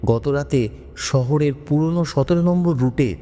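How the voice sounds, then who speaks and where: A man's voice: on the high side, unhurried, dark tone. A man narrating a scripted horror story in a dramatic style. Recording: long reverb, music bed.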